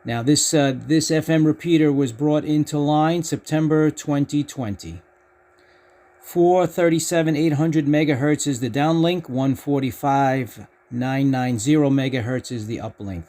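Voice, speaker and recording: A man's voice: fairly high pitch, a little slow, warm tone. A man hosting a casual amateur radio demonstration, speaking as an operator who gives callouts in the phonetic alphabet. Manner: spells call signs in phonetic alphabet.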